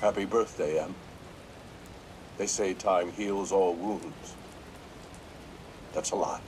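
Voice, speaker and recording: A man's voice: steady tone, takes it slowly. A middle-aged man playing a bitter, broken, grieving father in a video game. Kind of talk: video game cutscene acting. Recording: rain and rumble behind.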